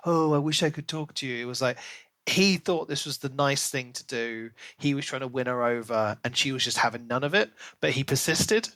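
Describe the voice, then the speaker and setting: A man co-hosting a podcast in a casual book discussion, talking in an animated, rambling way and acting out imagined quotes. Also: medium pitch, dry recording. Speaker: podcast co-host reviewing a book.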